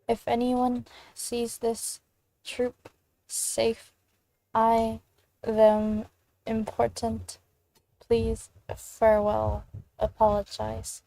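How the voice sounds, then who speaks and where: A woman doing casual let's play commentary as a gaming streamer, halting at times and reading game text aloud. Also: on the high side, monotone, gravelly, warm tone, rough recording.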